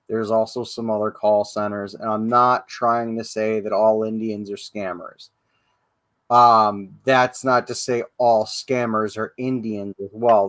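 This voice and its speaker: A man's voice: fairly low pitch, fairly bright tone, no echo. A man hosting a casual livestream podcast, rambling and profane, with many ums and restarts. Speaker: podcast host. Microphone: livestream podcast mic.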